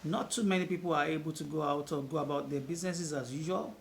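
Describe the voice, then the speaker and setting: A man's voice: fairly high pitch, fast talker, gravelly, a little hiss or hum. Earnest man reporting the news. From Nigeria. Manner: earnest, emphatic. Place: outdoor market.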